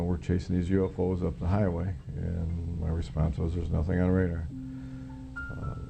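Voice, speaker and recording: A middle-aged or older American man recounting a personal experience in an interview, halting, plainspoken and matter-of-fact, as a former serviceman would. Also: deep voice, vocal fry. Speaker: interviewee, former Air Force air traffic controller. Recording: guitar music playing behind the voice. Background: plucked guitar music.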